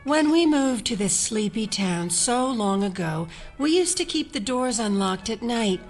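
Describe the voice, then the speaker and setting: An actress playing an older, unhurried small-town neighbor with a knowing, cryptic manner in an audio drama. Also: female voice, on the high side, expressive, soft background music. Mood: knowing, hospitable. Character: eerily calm gray-haired small-town neighbor woman who reads tea leaves.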